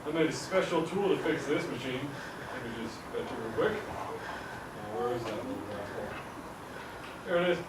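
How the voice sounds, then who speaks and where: A man's voice: mid-range voice, animated, normal speed, pauses often, close to an everyday voice. A young man playing a cheerful, folksy inventor father in a live puppet show, encouraging and playful. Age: young adult. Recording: very noisy echoey hall, distant mic.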